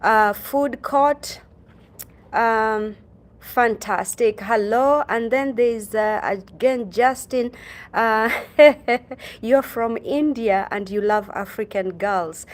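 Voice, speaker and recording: Slow, halting woman from Kenya. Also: a little high. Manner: slow, halting, many ums and uhs, greets viewers by name. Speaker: livestream host. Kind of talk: casual livestream storytelling.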